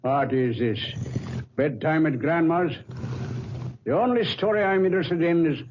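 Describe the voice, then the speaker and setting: Tough wisecracking flatfoot played by a man, slightly high voice, dull old broadcast. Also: normal speed, lightly acted. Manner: brash, streetwise slang. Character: tough, wisecracking beat cop. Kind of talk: 1940s radio acting. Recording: muffled old radio recording with hiss.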